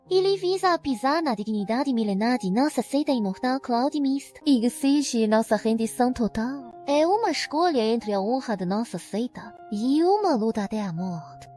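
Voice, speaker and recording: A woman voicing a stern, calculating female sect leader in a dubbed motion comic, measured and commanding. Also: sits high, dark tone. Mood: grave. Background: background music.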